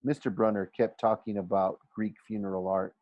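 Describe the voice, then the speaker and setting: A man with a low voice narrates a story as a teacher would, with expression. He sometimes trips over a phrase and corrects it, and the recording is close and dry. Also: steady tone, normal speed, no room sound, dull audio. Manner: stumbles and corrects misreadings. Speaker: teacher reading aloud.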